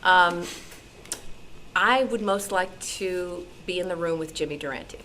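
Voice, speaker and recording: A woman in a casual group discussion, thinking aloud thoughtfully with pauses. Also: fairly low pitch, sharp tone, a little hiss or hum. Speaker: club discussion participant.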